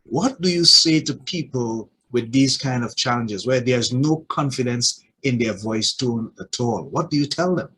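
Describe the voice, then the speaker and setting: Husky male podcast host. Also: medium pitch, unhurried, few pauses, rough recording. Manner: relaxed interviewer asking open questions. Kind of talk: casual interview chat.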